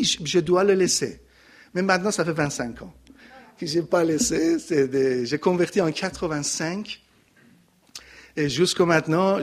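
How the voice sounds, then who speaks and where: Middle-aged Iranian man, Persian accent, halting testimony, fairly high pitch, handheld mic. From Iran. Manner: halting, searching for words, many restarts. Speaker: missionary giving testimony. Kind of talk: personal testimony to a congregation. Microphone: handheld microphone.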